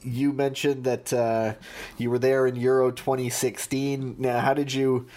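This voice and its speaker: A man co-hosting a podcast, speaking in a measured, slightly hesitant and dry way. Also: mid-range voice, level tone, darkish tone. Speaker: podcast co-host. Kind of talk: casual podcast chat.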